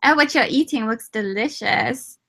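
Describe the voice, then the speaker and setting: A woman's voice: high-pitched, moderate pace, bright, forceful tone. A woman on a video call improvising comedy in a put-on English accent, giggling and boasting grandly in character.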